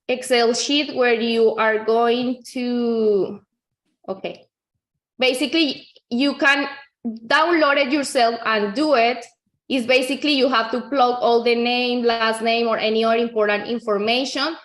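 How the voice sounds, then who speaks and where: A woman from Colombia, a native Spanish speaker with a Colombian accent, giving an informal software tutorial in a conversational, explanatory way, often repeating herself. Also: on the high side, fairly even intonation, unhurried. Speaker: real estate agent giving a software tutorial. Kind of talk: informal screen-share tutorial.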